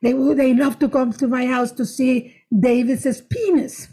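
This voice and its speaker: Woman, middle-aged, high voice, animated storyteller, comedian guest. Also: a little slow, breathy edge. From Nicaragua. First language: Spanish. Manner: animated storytelling, frequent restarts and laughs. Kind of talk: casual interview chat.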